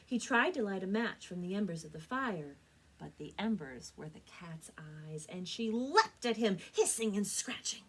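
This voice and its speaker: A woman speaking as a children's librarian and storyteller, with an expressive, clearly enunciated read-aloud delivery. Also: mid-range voice, normal speed, poor audio. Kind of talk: children's storytelling and book recommendations.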